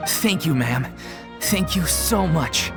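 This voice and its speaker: Earnest, animated troupe performer. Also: female voice, deep voice, slow pace, gravelly, music in the background, exaggerated voice. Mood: grateful. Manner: polite, earnest, hesitant. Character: earnest, polite amateur theatre troupe member. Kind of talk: video game voice acting.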